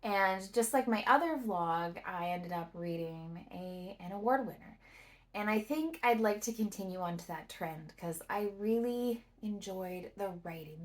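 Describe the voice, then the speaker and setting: A woman giving a casual, reflective book review to camera, speaking thoughtfully in phrases broken by pauses. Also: mid-range voice.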